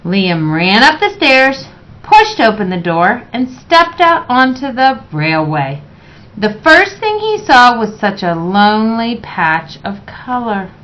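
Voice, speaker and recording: A woman reading a children's picture book aloud in a measured, expressive way. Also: on the high side. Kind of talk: read-aloud children's story narration. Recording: dull, lo-fi sound.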